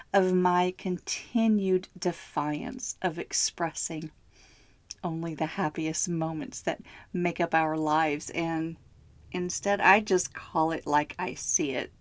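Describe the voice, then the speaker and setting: A middle-aged woman hosting her own podcast, hoarse from bronchitis, speaking slowly, heartfelt and candid. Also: on the low side, dry recording. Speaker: podcast host. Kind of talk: solo podcast monologue.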